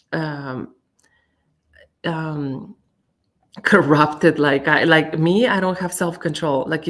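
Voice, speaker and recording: A woman talking candidly as a guest on a live stream, chatty, with many fillers and restarts. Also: fairly low pitch. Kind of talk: casual chat on a live stream.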